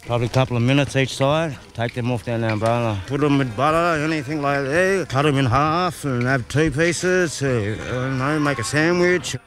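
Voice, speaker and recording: A man from New South Wales talks quietly and reflectively about cooking and home. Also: medium pitch, normal speed, few pauses, a little hiss or hum. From New South Wales, Australia. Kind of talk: casual reflective talk.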